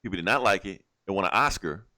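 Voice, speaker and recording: A man with very lively, swinging intonation hosts a casual podcast. He talks in an opinionated, emphatic way, repeating himself to drive points home and swearing freely. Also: low voice, fast. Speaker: podcast host.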